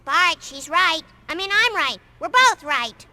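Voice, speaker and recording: Smart little cartoon girl, earnest know-it-all, super high bright voice. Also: big pitch swings, unhurried, exaggerated voice. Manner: earnest, precise, explanatory. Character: earnest, brainy eight-year-old cartoon girl, anxious know-it-all. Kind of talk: animated video game comedy acting.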